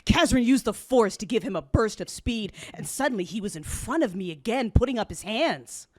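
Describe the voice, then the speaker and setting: A woman's voice: on the high side, animated, moderate pace, no echo. A woman playing an anguished, rage-consumed Jedi warrior, narrating an audio drama in the first person with intense emotion. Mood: tense. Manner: intense, emotive, shifting from hushed to roaring.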